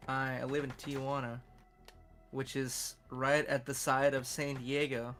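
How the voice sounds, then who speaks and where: A man's voice: mid-range voice, little pitch movement, unhurried, a bit bright, faint music behind. A man with a Californian English accent chatting casually while co-streaming a game, rambling and cursing freely. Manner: rambling, profane, loose. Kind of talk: casual gaming chat.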